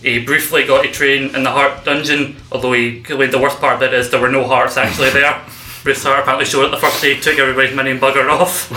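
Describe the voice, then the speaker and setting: A man hosting a podcast with a very bright, forceful voice, joking and teasing as he introduces his co-hosts and tells the story. The sound is roomy and echoey. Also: medium pitch, little pitch movement, fast talker, a little hiss or hum. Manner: jokey, teasing introductions, often laughing. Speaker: podcast host. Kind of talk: comedic wrestling podcast hosting. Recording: echoey room.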